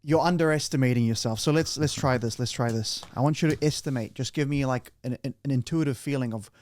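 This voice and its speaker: A man's voice: mid-range voice, fast, darkish tone. A man hosting a podcast, chatting casually and playfully with his guest, often restarting his sentences. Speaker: podcast host.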